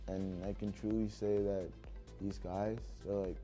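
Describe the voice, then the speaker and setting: A man's voice: on the low side, level tone, unhurried, dark tone, faint music behind. A young man from the Bahamas, a student basketball player, speaking earnestly in an interview. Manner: reflective, earnest.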